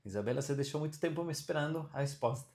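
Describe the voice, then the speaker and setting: A man teaching a casual online class on Vedic studies and Ayurveda over a video call, relaxed and often checking in with his listeners. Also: on the high side, very fast.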